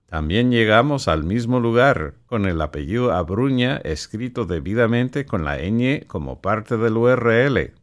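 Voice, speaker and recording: Measured man hosting a podcast. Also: on the low side. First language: English. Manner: measured, announcer-like delivery. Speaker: podcast host and director. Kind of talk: scripted podcast presentation with sponsor reads.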